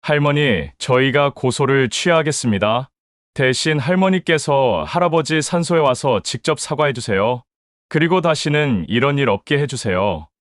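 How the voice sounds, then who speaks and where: A woman voicing an earnest middle-aged man who speaks politely and gravely in a lowered voice. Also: expressive, moderate pace, dry recording, clean studio sound. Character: grieving middle-aged son, steady and earnest. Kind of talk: audio drama acting.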